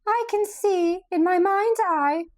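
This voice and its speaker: A woman doing a squeaky pompous bug professor. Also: slow pace. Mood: mournful. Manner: pompous, self-important. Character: pompous educated male insect, fussy and dramatic.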